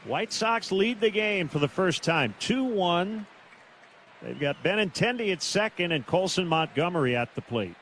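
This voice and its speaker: A man calling live baseball on the radio in clipped, measured phrases with pauses between plays. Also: high-pitched, expressive, fairly bright tone. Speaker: baseball play-by-play announcer. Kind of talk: live radio play-by-play commentary. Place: stadium broadcast booth. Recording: noisy stadium radio broadcast.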